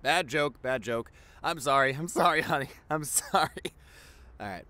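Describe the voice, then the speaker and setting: A man's voice: fairly high pitch, moderate pace, bright, forceful tone. A young man playing an affectionate, playful doctor boyfriend in an intimate audio roleplay, chatty and gushing as he talks to the listener. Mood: playful, excited. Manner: gushing, chatty, with repeated words and exclamations.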